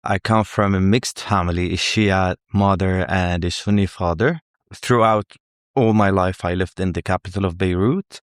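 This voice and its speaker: A middle-aged man from Lebanon answers interview questions in a very low voice. He speaks in a measured way and often repeats a word while he finds the next one. His voice is recorded close and dry, with no room sound. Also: clear, resonant tone, no echo. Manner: measured, repeats words while finding them. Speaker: journalist guest.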